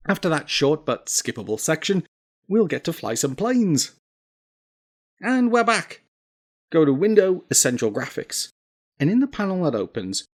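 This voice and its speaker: A man narrating a scripted software tutorial, giving clear step-by-step instructions with wry, self-deprecating asides. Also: a little high. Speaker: tutorial presenter.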